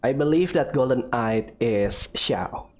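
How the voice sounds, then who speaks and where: Female gaming host with a low voice, giving informal play-along commentary. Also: lively intonation, unhurried, darkish tone, slight background noise. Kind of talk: casual gameplay commentary.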